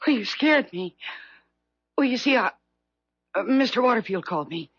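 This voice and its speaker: A desperate middle-aged woman with a guilty secret, her husky voice swinging widely in pitch. She is defensive and halting, in heightened 1970s radio drama style, on a dull, dry vintage recording. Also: lively intonation, fairly bright tone, no echo, exaggerated voice. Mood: nervous, pleading.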